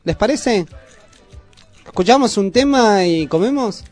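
A man's voice: high voice, expressive, unhurried, a little mellow, soft background music. A man with an Argentine accent hosting a cooking segment in casual, colloquial chat full of affectionate diminutives. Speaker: host of a youth culture radio cooking segment.

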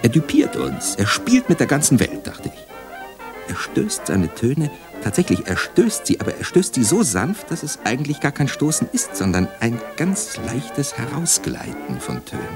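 A man playing a fascinated listener marvelling at a horn player in a literary radio monologue, insistent and animated. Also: mid-range voice, normal speed, music in the background.

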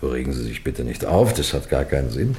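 A man's voice: extremely low pitch, big pitch swings, fairly quick, dark tone, full character voice. A man playing a wily, composed police detective in an old-fashioned radio crime play, courteous and teasing in a theatrical way. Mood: calm, taunting. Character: wily, composed male police detective telling his own case. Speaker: narrator and lead actor.

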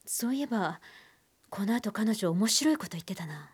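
An actress playing a composed, shrewd criminal profiler and psychiatrist in a radio drama, speaking in a cool, analytical way. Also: female voice, medium pitch, big pitch swings, normal speed, very noisy, lightly acted. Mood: reflective, grave.

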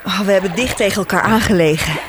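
An actress playing a cheerful sister who travels the world, breezy and teasing, in a Dutch radio play. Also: female voice, medium pitch, quick pace, noisy background, lightly acted. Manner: breezy and teasing, with frequent laughs. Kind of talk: radio drama acting.

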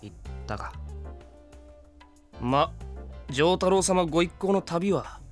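Slow, suave gunslinger over music. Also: male voice, on the high side, animated. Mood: smug. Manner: suave, boastful, theatrical. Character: smooth, swaggering cowboy-like male hired gunman. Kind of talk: Japanese audio drama acting.

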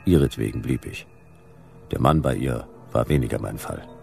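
Very deep, gravelly hard-boiled detective. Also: male voice, moderate pace, mellow, full character voice. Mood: grim, weary. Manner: laconic, dry, world-weary. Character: hard-boiled, sardonic male private detective in dystopian future. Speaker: narrator and lead character. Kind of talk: noir radio drama narration. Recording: music behind the voice.

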